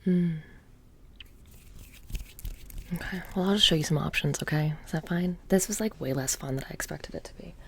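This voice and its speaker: A woman playing a bored, petty young witch shopkeeper in a soft-spoken ASMR roleplay, casually menacing and deadpan, breathy and often dropping into a whisper. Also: low-pitched, slight background noise. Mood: bored, unimpressed. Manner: deadpan, casual, many drawn-out pauses and filler "like".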